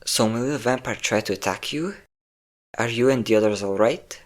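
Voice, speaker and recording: A man's voice: mid-range voice, a little fast, close to an everyday voice. A man playing a possessive, obsessive centuries-old vampire in a dramatic romantic audio roleplay, intense and theatrical in delivery. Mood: cold fury. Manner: intense, theatrical, swinging between tender and threatening. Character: possessive obsessive five-hundred-year-old male vampire lover, menacing, volatile.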